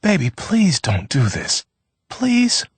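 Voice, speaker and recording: A husky-voiced man playing an exasperated young man who coaxes and urges in a storybook reading. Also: fairly low pitch, big pitch swings, moderate pace, dry recording, voice pushed up. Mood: pleading. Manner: urgent, coaxing. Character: exasperated young man trying to keep calm.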